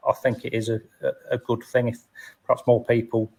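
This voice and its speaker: A man's voice: medium pitch, completely flat delivery, brisk, a little mellow. Hesitant man hosting a chat. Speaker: host. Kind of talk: casual interview chat.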